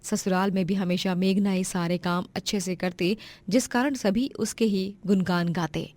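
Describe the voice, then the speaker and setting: A woman's voice: medium pitch, steady tone, moderate pace, darkish tone, dry recording. A woman storyteller giving a scripted narration of a moral tale.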